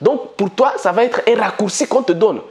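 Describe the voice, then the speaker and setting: Guy hosting a business channel, fairly high voice, keeps repeating himself for emphasis, jumps up loud then drops low. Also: expressive, moderate pace. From Africa. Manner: emphatic, repetitive, rhetorical questions to viewers; swings between high emphatic bursts and low asides. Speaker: entrepreneur and channel host. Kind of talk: motivational business talk to camera.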